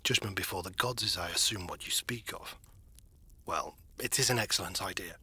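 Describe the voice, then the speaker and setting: Husky, sharp-edged courtly envoy, played by a man. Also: deep voice, heavily acted. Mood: composed, grave. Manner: formal, deliberate diction. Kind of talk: fantasy audio roleplay acting.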